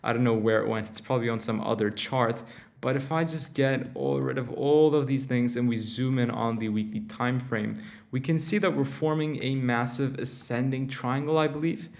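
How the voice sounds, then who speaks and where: Young male stream host, tired, chatty, breaks off mid-sentence, average pitch. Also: normal speed, creaky, a little mellow. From Turkey. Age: young adult. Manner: rambling, self-interrupting, chatty with viewers. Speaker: crypto livestream host. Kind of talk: casual livestream chat.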